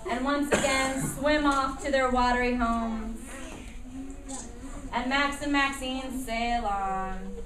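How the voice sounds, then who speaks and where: High-voiced woman narrating in a noisy room. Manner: animated, encouraging, directing children. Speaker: puppet show narrator and teacher. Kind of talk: live storytelling to young children. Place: indoor room at a school show. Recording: noisy echoey room, distant microphone.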